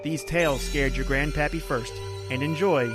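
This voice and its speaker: A man's voice: a little high, fairly even intonation, moderate pace. A man hosting a horror podcast with a scripted, theatrical announcer-style introduction. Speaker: podcast host. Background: music.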